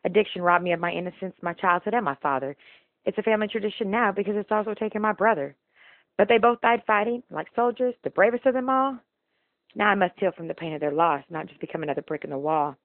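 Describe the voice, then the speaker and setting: A woman's voice: mid-range voice, animated, fast, no echo, narrow, tinny audio. A woman performing spoken-word slam poetry in an emotional, rhythmic, rhyming delivery. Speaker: slam poet.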